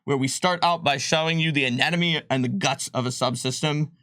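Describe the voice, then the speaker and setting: A man's voice: fairly high pitch, a little fast, sharp tone, dry recording, studio quality. A man chatting casually as a game designer answering viewer questions on a livestream, informal and rambling with jokey asides.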